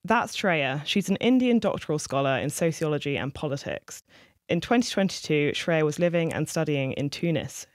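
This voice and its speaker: A reporter giving scripted news narration with a clear, even delivery. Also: medium pitch, no echo, clean studio sound.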